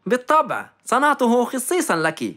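A woman's voice: fairly high pitch, big pitch swings, fairly quick, background noise, pitched above the performer's own voice. A woman doing a cheerful male fox professor. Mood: friendly. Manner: friendly, upbeat and courteous. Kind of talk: animated children's story.

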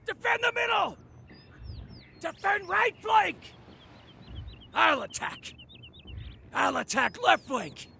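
An actor voicing a cynical, laid-back male huntsman with a raspy voice and wry humour for a video game. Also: extremely high pitch, bright, forceful tone, exaggerated voice. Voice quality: gravelly, raspy.

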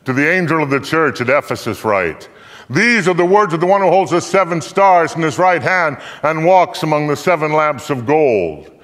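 A man's voice: on the high side, lively intonation, moderate pace, fairly bright tone. An older man giving a solemn, declamatory live recitation of scripture on stage, drawing out phrases for emphasis. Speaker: dramatic reader on stage. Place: theater stage, indoors. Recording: echoey hall with long reverb.

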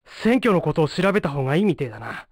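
A rough-talking young male ninja from a fighting game. His delivery is blunt and slangy, with very animated intonation. The recording is dry and studio-close. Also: a little high, fast, no echo. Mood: pondering, gruff. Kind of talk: video game voice acting.

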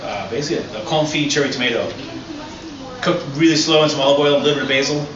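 A middle-aged man, a chef, explaining a dish in a relaxed, enthusiastic way with frequent restarts, on an outdoor restaurant patio. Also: on the high side, rapid-fire, a crowd in the background. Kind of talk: cooking demonstration. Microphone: far camera. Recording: echoey, distant, dull, noisy outdoor audio.

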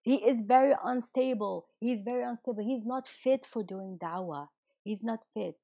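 A hoarse woman who is losing her voice hosts a livestream and rants indignantly, firing rhetorical questions at her viewers. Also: on the high side, moderate pace, warm tone. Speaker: livestream host. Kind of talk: heated casual livestream rant.

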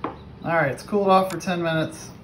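A man's voice: a little high, steady tone, moderate pace. A middle-aged man casually walking through a recipe in an offhand, unpolished way, recorded on a phone in a home kitchen. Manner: unpolished, offhand step-by-step delivery. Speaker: home cook presenting a recipe. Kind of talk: casual cooking demonstration. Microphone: phone camera held nearby. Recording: clearly noisy home kitchen video.

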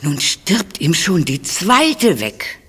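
An actress playing a kindly, doting, gossipy old cook in a radio play. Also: female voice, lowish voice, wildly swooping pitch, slow pace, a bit bright, breathy edge, heavily acted. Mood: sorrowful, defensive. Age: older. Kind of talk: German radio play acting.

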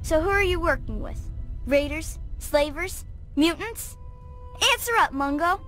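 A woman's voice: high-pitched, a little slow, fairly bright tone, faint music behind. A woman playing a haughty, snappy, bossy young girl in a video game. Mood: haughty, scolding. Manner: snappy, imperious.

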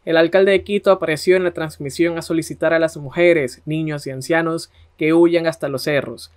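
A man's voice: on the high side, steady tone, moderate pace, clean tone. A man narrating conversationally as a podcast host.

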